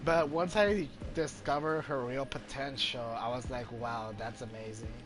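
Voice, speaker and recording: A man's voice: mid-range voice, fairly even intonation, a little slow, music in the background. A man giving casual, chatty gameplay commentary as the creator of a gaming video. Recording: loud game noise behind the voice.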